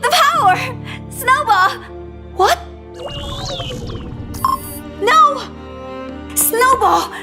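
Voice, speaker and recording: An actress playing a cheerful, optimistic young female scientist in an animated short film. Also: extremely high pitch, very slow, long pauses, over music, heavily acted. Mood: panicked. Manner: bright, hopeful, breaks into cries of alarm.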